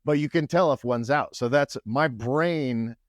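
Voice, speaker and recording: A man hosting a casual podcast conversation, with a low, deep, loud voice and a talkative, go-getter manner. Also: unhurried, no echo, studio quality. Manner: talkative, go-getter energy, frequent restarts. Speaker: podcast host.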